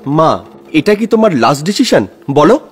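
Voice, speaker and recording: A man's voice: a little high, big pitch swings, normal speed, husky, soft background music. A man playing an earnest, polite middle-aged family man in an audio horror story. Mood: pleading.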